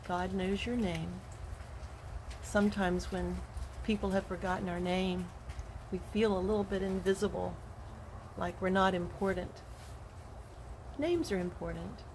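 A woman in her sixties, a retired teacher, sharing a gentle, earnest devotional while recording on her phone on an outdoor porch. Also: fairly low pitch, slight background noise, poor audio. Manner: slow, gentle and earnest, with soft laughs. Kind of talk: casual devotional walk-and-talk vlog. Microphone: phone held at arm's length.

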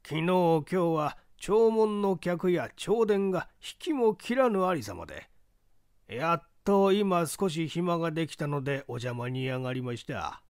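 Man acting polite, wordy old merchant, raised pitch. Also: lively intonation, unhurried, a little mellow, no room sound, clean studio sound. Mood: exasperated. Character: stout-lipped rich merchant of sixty, jokey, shaken.

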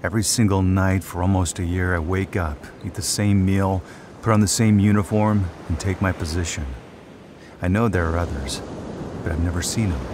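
An actor playing a cocky, cold-blooded sniper who narrates a motion comic in a terse, cynical way. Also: male voice, low-pitched, steady tone, normal speed, background noise, natural voice. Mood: cold, boastful. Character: hard-boiled Hydra sniper, cocky cold-blooded male killer. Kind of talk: first-person scripted narration of a motion comic. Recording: loud music under the voice.

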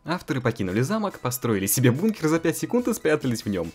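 A young man hosting a casual let's-play, narrating a visual novel and joking about it. His voice moves lively up and down at a medium pitch, with faint music in the background. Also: fast talker. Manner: playful, giggly. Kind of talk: reading a visual novel aloud and chatting.

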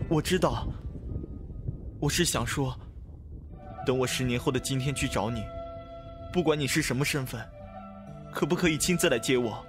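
An actor playing a brash, impulsive but devoted young man in an expressive Chinese costume audio drama. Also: mid-range voice, moderate pace, long pauses, over music, lightly acted. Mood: earnest, tender. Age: young adult.

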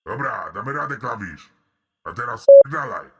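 A man voicing a sleazy, menacing male prison inmate in an animated comedy, with a deadpan, mock-friendly manner. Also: medium pitch, moderate pace, very noisy, lightly acted.

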